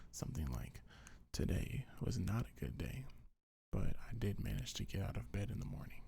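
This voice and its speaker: A man speaking gentle, soothing ASMR affirmations in a breathy, husky half-whisper, unhurried and close to the microphone. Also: sits low, no echo. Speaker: ASMR creator. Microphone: close microphone, dry.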